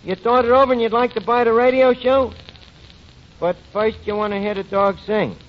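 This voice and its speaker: An actor playing a scheming, slangy tavern manager with a New York accent in 1940s radio comedy. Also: male voice, very high-pitched, fairly even intonation, moderate pace. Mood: eager, wheedling. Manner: slangy, wheedling, wisecracking. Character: fast-talking scheming male tavern manager, slangy wiseguy. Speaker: lead comic actor in a radio sitcom. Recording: dull, noisy 1940s radio recording.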